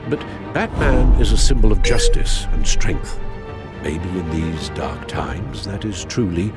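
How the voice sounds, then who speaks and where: A loyal old butler from a game drama, with a low voice that rises and falls expressively. He gives earnest, formal counsel in measured phrases, with music playing behind him. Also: animated. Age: older. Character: loyal, wise elderly butler and confidant. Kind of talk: video game dramatic acting.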